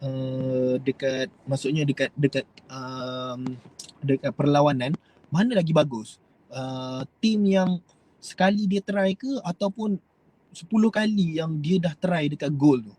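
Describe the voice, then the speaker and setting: A man's voice: a little high, measured pace, darkish tone, low-quality recording. A young Malaysian man with a Malaysian Malay accent, giving a rambling, hesitant motivational talk to his viewers. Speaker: host.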